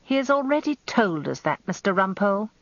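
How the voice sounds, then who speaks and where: A woman acts a weary, sepulchral old British judge in a radio story. He is impatient and gravelly, close up on a slightly muffled recording. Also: mid-range voice, very animated, brisk, no echo, voice pushed up.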